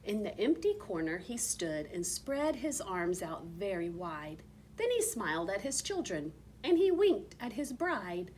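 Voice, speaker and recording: A woman reading a picture book aloud expressively, with theatrical, drawn-out emphasis on repeated words. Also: mid-range voice, very animated, darkish tone, a little hiss or hum. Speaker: reader of a children's storybook.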